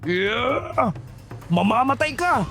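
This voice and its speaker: A man's voice: very high voice, very animated, takes it slowly, fairly bright tone, faint music behind, voice pushed up, full character voice. A man playing a panicked, enraged young survivor in a horror audio story, frantic and emotional. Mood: furious.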